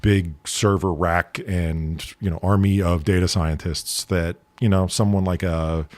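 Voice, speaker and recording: A middle-aged American man with a lowish voice hosts his podcast in a loose, joking way and often pokes fun at himself. The sound is dry and close, with no room around it. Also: steady tone, measured pace, a little mellow, dry recording. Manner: jokey, self-deprecating, chatty. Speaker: podcast host. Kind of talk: casual interview.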